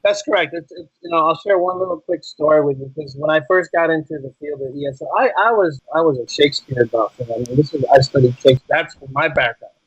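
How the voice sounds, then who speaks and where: A man's voice: fairly high pitch, quick pace, breathy edge, dry recording, lo-fi sound. A man talking as an interview guest, explaining things conversationally and in anecdotes. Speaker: podcast guest, ESL teacher. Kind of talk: casual interview chat.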